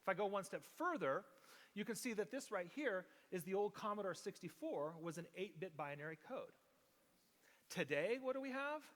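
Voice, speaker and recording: A man delivers a teaching sermon with a slightly higher voice, walking through ideas step by step. He keeps checking in with the congregation as he goes. His voice rings out with the long reverb of a church hall. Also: fairly bright tone. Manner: explanatory, checks in with the congregation often. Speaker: pastor. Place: church sanctuary.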